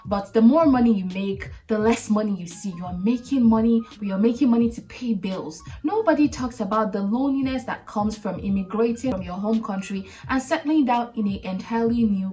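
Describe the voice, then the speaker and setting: A woman's voice: mid-range voice, darkish tone, recorded from a distance. A woman in her late twenties with a Nigerian accent, hosting an advice vlog in an emphatic, direct way that repeats points for stress. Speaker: video host.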